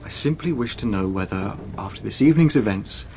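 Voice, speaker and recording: An actor playing a stern, formal gentleman husband in a period radio drama, restrained but indignant. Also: on the low side, lively intonation, a little fast, vocal fry, a little hiss or hum, low-quality recording. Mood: controlled, reproachful.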